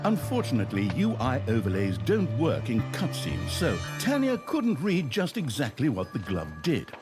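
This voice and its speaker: Male game narrator, mock-epic and wry, sweeping highs and lows, music underneath. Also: wildly swooping pitch, normal speed. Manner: theatrical, mock-grand storyteller with wry delivery. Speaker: narrator of a fantasy video game. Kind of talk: scripted comedic story narration.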